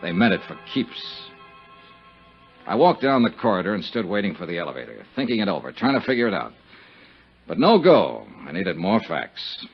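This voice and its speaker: An actor playing a sardonic, flirtatious American investigator with clipped, wry speech in 1950s radio detective style. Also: male voice, deep voice, big pitch swings, normal speed, slightly rough, full character voice. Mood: brooding narration. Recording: dull old radio recording with music.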